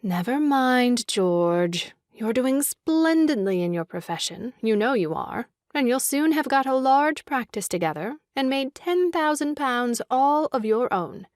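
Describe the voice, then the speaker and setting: An actress playing a kind-hearted, girlish young woman in a stage farce, speaking in a gentle, coaxing way. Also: high-pitched, animated, measured pace, few pauses, no echo, clean studio sound. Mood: soothing. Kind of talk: stage farce audio drama acting.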